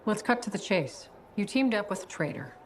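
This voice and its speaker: An actress playing a stern, suspicious security officer, blunt and probing, in video game dialogue. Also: female voice, medium pitch, animated, brisk, a little hiss or hum, natural voice.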